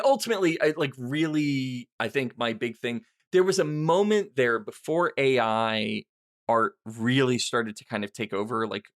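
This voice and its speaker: Low, creaky-voiced middle-aged man rambling casually. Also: a bit bright. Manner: rambling, self-deprecating, thinking aloud. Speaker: podcast host and writer answering listener questions. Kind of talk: casual unscripted Q&A chat.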